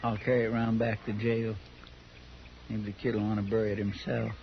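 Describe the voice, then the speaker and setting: An actor playing a folksy, soft-hearted deputy with a rural American drawl in a 1950s radio western, homespun and deferential. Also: male voice, lowish voice, flat delivery, fairly quick, creaky. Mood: subdued, sorry. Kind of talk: 1950s radio drama acting. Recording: dull, noisy 1950s radio recording.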